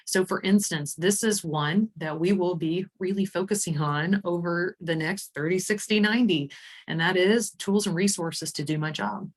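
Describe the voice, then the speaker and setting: A woman presenting a software demo in an informal, conversational way, explaining things with enthusiasm. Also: fairly low pitch, normal speed, poor audio. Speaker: HR executive demonstrating software.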